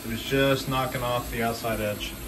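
A man's voice: medium pitch, steady tone, normal speed, noisy background. A machine shop owner talking casually and explaining each step of his work as he goes, with fillers, recorded on a handheld phone in his shop. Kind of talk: casual workshop walkthrough. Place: machine shop beside a CNC mill.

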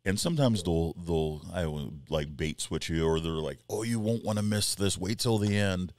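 A man in his forties, co-hosting a podcast in casual chat, rambling and animated as he tells stories with quoted asides. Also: low voice, moderate pace, dry recording. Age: 40s. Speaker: podcast co-host. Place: small wood-paneled studio room. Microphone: headset and boom mic.

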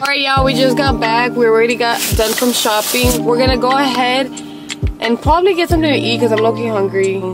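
A woman's voice: fairly high pitch, brisk, loud music behind the voice. A young woman vlogger chatting casually and bluntly with a friend in a car. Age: young adult. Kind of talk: casual chat. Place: inside a car.

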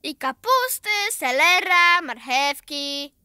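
An excitable little granddaughter in a children's radio drama. Her voice is very high and sharp, with swooping intonation. She sounds curious and pleased with what she knows. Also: slow pace, no room sound, clean studio sound, heavily acted. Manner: eager, interrupting, excitable. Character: curious, chatty, know-it-all little granddaughter.

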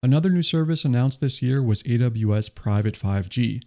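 Male host, scripted recap narration, eager and explanatory, average pitch. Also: moderate pace, darkish tone. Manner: enthusiastic, explanatory. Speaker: tech video host. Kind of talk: scripted voice-over summary. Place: voice-over, not recorded on the stage pictured.

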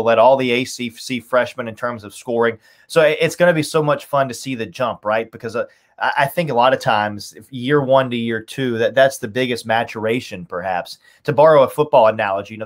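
A man in his thirties talking sports enthusiastically as a podcast guest, repeating phrases for emphasis. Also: mid-range voice. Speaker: radio play-by-play announcer as podcast guest. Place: small furnished room at home, on a video call.

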